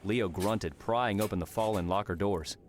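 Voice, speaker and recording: A man's voice: fairly low pitch, normal speed. A man narrating a scripted fantasy story as an audiobook narrator. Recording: clearly noisy background.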